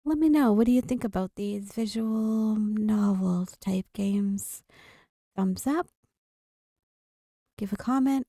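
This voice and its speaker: A woman's voice: mid-range voice, slow, pauses often, dark tone, no room sound, studio quality. A woman hosting a gaming video, reading a visual novel aloud and now and then stumbling over a word.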